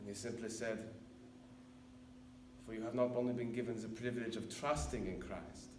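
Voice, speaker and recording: A man performing a dramatic monologue as a haunted, repentant older former camp officer, speaking solemnly and deliberately with weighty pauses. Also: on the low side, steady tone, close to an everyday voice. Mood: subdued, remorseful. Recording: echoey room, distant mic, faint noise.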